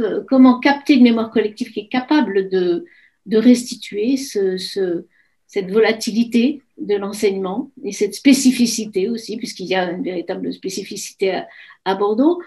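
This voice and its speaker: A woman's voice: on the high side, dark tone. A woman in her sixties conducting an academic interview, asking long, reflective, winding questions. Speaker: interviewer, emeritus professor of architecture. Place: home office with bookshelves. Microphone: computer video call.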